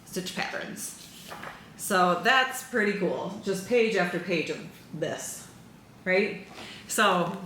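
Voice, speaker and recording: A woman hosting a knitting podcast, chatting casually to camera in an excitable, rambling way. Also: lowish voice. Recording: echoey room with faint background noise.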